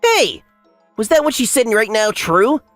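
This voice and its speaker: A man playing an earnest young factory worker in a manga dub, polite but indignant when wronged, with an expressive, emphatic delivery. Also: sits high, a little slow, no room sound, faint music behind, exaggerated voice. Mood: demanding. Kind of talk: anime-style manga dub acting with first-person narration.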